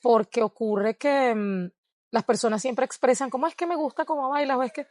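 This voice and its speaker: A woman's voice: a little high, normal speed, a little mellow, no echo. Reflective Venezuelan woman, dry close sound. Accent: Venezuelan Spanish. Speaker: guest, dancer and researcher. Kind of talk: interview conversation.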